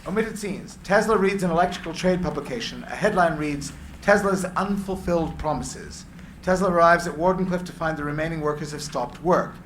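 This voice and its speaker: Bright, high-pitched male screenplay narrator. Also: roomy sound, a little hiss or hum, poor audio. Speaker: narrator of stage directions. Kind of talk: scripted narration, screenplay reading.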